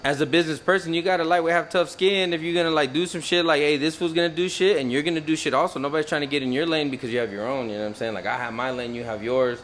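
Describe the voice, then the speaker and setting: A man hosting a casual podcast, talking in a hyped, slangy, animated way and tossing in Spanish phrases. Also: a little high, racing, hardly any pauses, a little hiss or hum. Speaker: podcast host.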